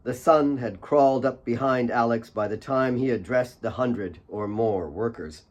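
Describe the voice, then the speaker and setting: A man's voice: medium pitch, a little slow, darkish tone. A man reading his own fiction as a scripted, dramatic audiobook narration.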